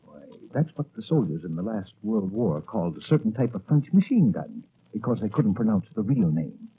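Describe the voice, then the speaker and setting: Husky old-radio doctor, swooping pitch. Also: male voice, big pitch swings, moderate pace, exaggerated voice. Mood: friendly, pensive. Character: kindly, conspiratorial doctor-apothecary, mentor to a young man. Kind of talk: 1940s radio acting. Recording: muffled, narrow-band vintage radio recording.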